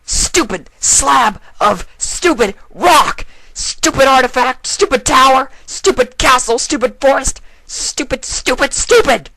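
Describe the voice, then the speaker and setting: A man's voice: extremely high pitch, expressive, slow pace, hardly any pauses, pushed and bright, dry recording, voice pushed up, heavily acted, poor audio. A man voicing a proud, short-tempered archaeologist mare from a story, exasperated and sarcastic as she mutters to herself. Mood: furious shouting.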